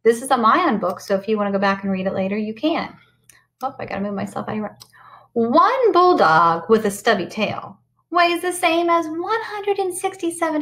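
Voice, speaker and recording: A woman's voice: on the high side, a bit bright. A middle-aged American woman reading a picture book aloud to children and explaining it, in a sing-song way that stresses key words and rhymes. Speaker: primary school teacher reading aloud to children. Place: indoors at home, in front of a computer.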